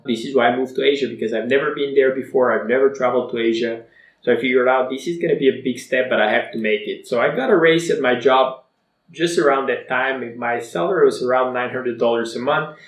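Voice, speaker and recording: Bulgarian man, twenties, Bulgarian native, upbeat vlogger telling his story, mid pitch, roomy echo. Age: 20s. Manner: energetic, motivational, conversational. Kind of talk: personal story to camera.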